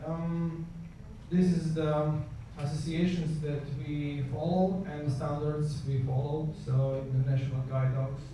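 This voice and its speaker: A man with a Croatian accent presents to a conference in English, his second language. His delivery is hesitant, full of fillers and restarts, in a somewhat high voice. He sounds far from the microphone in a very echoey hall, with steady background noise. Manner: halting, many fillers and restarts.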